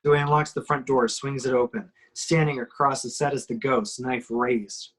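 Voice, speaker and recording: A man's voice: medium pitch, steady tone, moderate pace, fairly bright tone. A man giving emphatic, suspenseful scripted narration as he reads action descriptions at an amateur table read.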